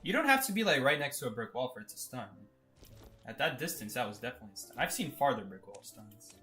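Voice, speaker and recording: A young man commentating his own gameplay live, excitable and laughing often, going from loud disbelief to low mutters. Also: fast, soft background music. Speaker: game streamer.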